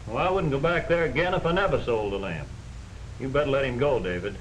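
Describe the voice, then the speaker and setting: A man's voice: medium pitch, quick pace, a little hiss or hum, lightly acted, low-quality recording. An actor in a 1940s film playing a frustrated small-town male lamp seller, plainspoken and exasperated.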